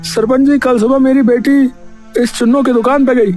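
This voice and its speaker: Male actor as indignant village dad, extremely high voice, pleading, insistent complaints, cartoon story, faint music behind. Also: fairly even intonation, moderate pace, darkish tone. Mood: aggrieved, formal. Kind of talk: Hindi cartoon story voice acting. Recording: music under the voice.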